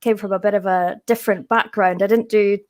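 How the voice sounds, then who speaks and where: Female, Scottish accent, mid-pitched voice, informal and chatty interview guest. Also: fairly even intonation, a little fast. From Scotland. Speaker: podcast guest, tech solutions specialist. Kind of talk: casual interview chat.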